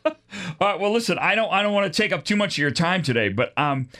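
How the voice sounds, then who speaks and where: A man's voice: high-pitched, animated, quick pace, bright, forceful tone. A middle-aged man hosting a casual conversational interview in an informal, plain-spoken way. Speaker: podcast host.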